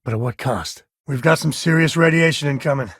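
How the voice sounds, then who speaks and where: An actor playing an easygoing, wry spacefaring cowboy and devoted father in a video game, with a husky voice and folksy, drawling, dryly sarcastic phrasing. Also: fairly high pitch, brisk, fairly bright tone, no echo, studio quality. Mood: urgent, tense. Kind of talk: video game character dialogue.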